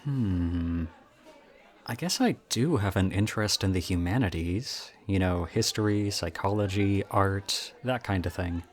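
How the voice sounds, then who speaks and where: A man acting in a roleplay audio drama as a charming, slightly creepy young college student, chatty, self-deprecating and softly teasing. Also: deep voice, a little slow, some chatter behind. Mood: curious, friendly.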